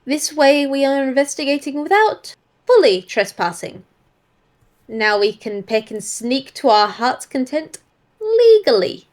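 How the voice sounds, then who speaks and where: A woman's voice: sits high, very animated, a little slow. A woman playing an eager, playful female monkey detective who mentors an apprentice and talks in folksy mild oaths. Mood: gleeful, confident.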